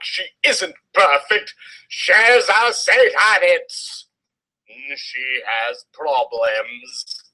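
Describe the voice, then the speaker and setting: A man's voice: fairly high pitch, bright, forceful tone, rough recording. A man giving a dramatic, comedic reading of a story with theatrical, exaggerated emphasis. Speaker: reader of fan fiction.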